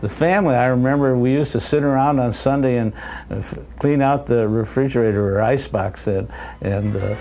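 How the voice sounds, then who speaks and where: Older guy w creaky voice, nostalgic and halting, music under him. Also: mid-range voice, dark tone. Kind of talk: interview reminiscence.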